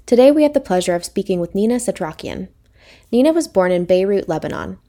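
Woman announcer reading a scripted intro. Mellow and even. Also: mid-range voice, quick pace. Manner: smooth, read from a script. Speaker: podcast announcer.

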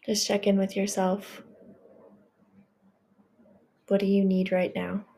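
A woman leading a guided healing meditation, speaking in a gentle, reassuring and affirming way. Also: medium pitch, completely flat delivery, takes it slowly, vocal fry, husky.

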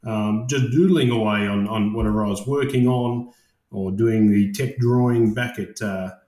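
A man's voice: lowish voice, fairly even intonation, normal speed, warm tone, reverberant. A man in his late thirties from Iran, raised in Australia, talking as a guest in a casual interview and often hesitating with fillers and restarts. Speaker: guest, construction project manager.

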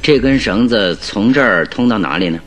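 A brisk, low-voiced probing detective. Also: male voice, expressive, a bit bright, faint music behind. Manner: probing, questioning, commanding. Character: brisk, inquisitive male detective, keenly observant. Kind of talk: radio drama acting.

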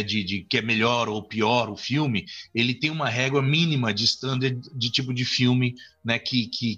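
A man's voice: medium pitch, sharp tone, husky. A man from Brazil, a film director and author, talking in an interview and rambling with frequent restarts and fillers. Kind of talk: interview conversation. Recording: dull lo-fi audio.

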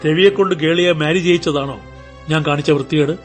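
An actor playing a callous, scheming middle-aged father in a radio drama, commanding and quick to bark. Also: fairly high pitch, soft background music, close to an everyday voice. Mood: defensive, forceful. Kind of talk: Malayalam radio drama acting.

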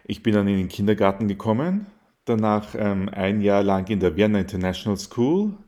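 A man's voice: on the low side, animated, normal speed, mellow. A young man, answering interview questions in a thoughtful, hesitant way. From Austria. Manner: thoughtful, hesitant with fillers and restarts. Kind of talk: casual interview.

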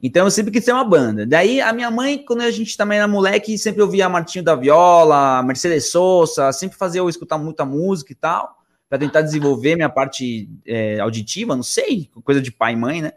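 A man from Argentina speaks in a somewhat high voice with a Brazilian Portuguese accent. His delivery is casual and slangy, wandering through stories as he cuts himself off and picks up again. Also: expressive, a little fast. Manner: rambling, slangy, self-interrupting. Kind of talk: casual conversational storytelling.

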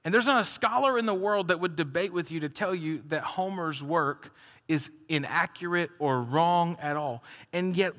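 A man's voice: fairly high pitch, fairly bright tone. A man preaching a conversational sermon as a pastor, joking and laughing between points. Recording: long hall reverb.

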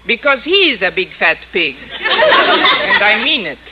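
A woman's voice: a little high, wildly swooping pitch, unhurried, a loud crowd. A woman playing a blunt, insulting French madame in 1950s radio comedy, with a French accent and broken English. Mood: blithely rude. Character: haughty, insulting French madame in comic disguise. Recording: dull noisy old radio recording.

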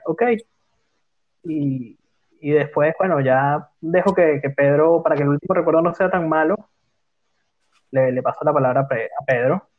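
A man from Venezuela hosting a casual music talk, chatty and joking as he tells stories. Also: on the high side. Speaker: podcast host. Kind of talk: casual chat, leading a music playlist talk. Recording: dull lo-fi sound.

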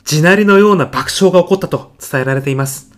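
A man playing an earnest physicist in an audio comedy sketch, speaking formally and reverently of his mentor with deadpan, grandiose solemnity. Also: a little high, fast talker, natural voice. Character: earnest, reverent male physicist, devoted protégé of late professor.

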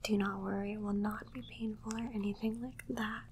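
A woman voicing a condescending female alien doctor in a soft whisper, giving matter-of-fact instructions in an ASMR exam roleplay. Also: mid-range voice, little pitch movement, fairly quick, heavy background noise, close to an everyday voice. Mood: clinical, condescending. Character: female alien doctor, clinical, condescending, studying human captive.

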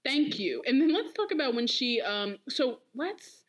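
A woman co-hosting a podcast in casual pop culture chat, animated and emphatic, often repeating phrases for effect. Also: high voice, a little slow. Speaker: podcast co-host.

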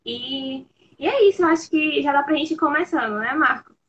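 Bright-voiced young woman on a lo-fi call. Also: sits high, brisk. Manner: upbeat, addresses the audience as "galera". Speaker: product lead hosting a live stream. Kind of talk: casual live-stream hosting. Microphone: video call audio. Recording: dull lo-fi call audio with faint noise.

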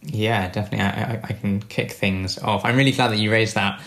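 Young man, composer guest, low gravelly voice, stop-start delivery. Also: fairly even intonation, fairly bright tone. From London. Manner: halting, stutters and restarts. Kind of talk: casual video-call interview.

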